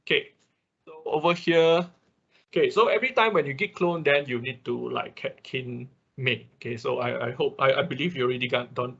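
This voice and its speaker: A man teaching an online programming class in an informal, halting, step-by-step way, often checking in with a short tag question. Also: mid-range voice, gravelly, fairly bright tone, slightly rough. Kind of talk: informal screen-share tutorial. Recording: dull, band-limited online class audio.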